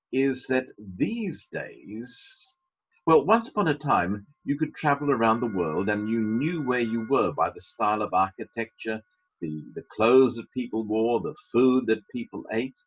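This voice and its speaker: A man's voice: mid-range voice, slightly rough. A man preaching a sermon as a pastor, emphatic, with wry asides. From Britain. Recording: dull lo-fi sermon recording.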